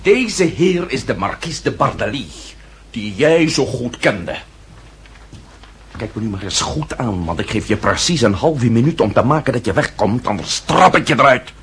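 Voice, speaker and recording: An actor playing a proud, sardonic French marquis with a formal, mocking delivery in a period radio drama. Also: male voice, mid-range voice, animated, normal speed, a bit bright, slight background noise. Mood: commanding. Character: proud French marquis, sardonic, coolly self-possessed nobleman. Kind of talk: radio drama acting in period costume piece.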